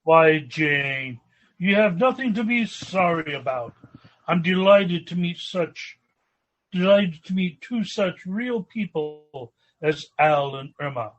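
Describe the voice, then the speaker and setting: A genteel young millionaire played by an actor in a radio sitcom, earnest and courteous. His voice is high and unhurried, on a slightly muffled recording. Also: expressive, a bit bright, full character voice. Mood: gracious. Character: polite, genteel, mild-mannered young millionaire banker. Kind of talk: old-time radio sitcom acting.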